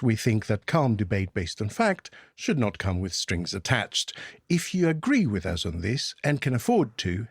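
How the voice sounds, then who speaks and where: A man's voice: mid-range voice, slightly rough, dry recording, studio quality. A man co-hosting a podcast, moving between scripted presenting and chat. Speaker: podcast co-host.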